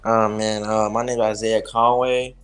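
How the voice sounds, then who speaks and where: Flat, low-voiced young man. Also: moderate pace, clean tone, faint music behind. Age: young adult. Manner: earnest, with frequent fillers. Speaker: interviewee. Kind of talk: casual interview.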